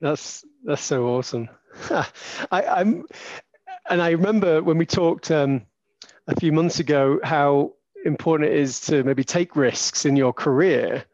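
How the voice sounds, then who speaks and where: Rambling host with a dull, dry sound. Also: male voice, fairly high pitch, a little slow, darkish tone, dry recording. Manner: rambling, hesitant, many restarts. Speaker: podcast host. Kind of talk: casual interview questions.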